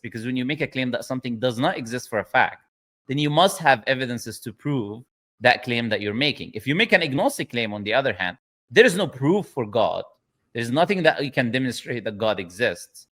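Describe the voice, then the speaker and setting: A man hosting a live conversational debate, insistent and quick to interrupt, repeating his points to press them. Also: medium pitch, sharp tone. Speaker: host, religious debater.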